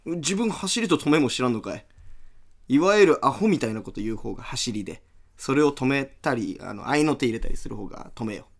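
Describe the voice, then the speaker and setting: Man acting brash, lively Hiroshima-dialect comedian. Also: medium pitch, a little fast, gravelly. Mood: chatty, cocky. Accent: Hiroshima-area Japanese dialect. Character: brash, chatty young comedian from western Japan, friendly. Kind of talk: amateur comedic radio drama.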